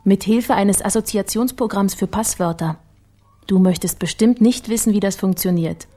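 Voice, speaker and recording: A shrewd woman researcher, played by an actress in a radio crime drama, with a medium-pitched voice. She explains things coolly and confidently. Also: moderate pace, dark tone, faint music behind, natural voice. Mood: cool, explanatory. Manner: matter-of-fact, confident.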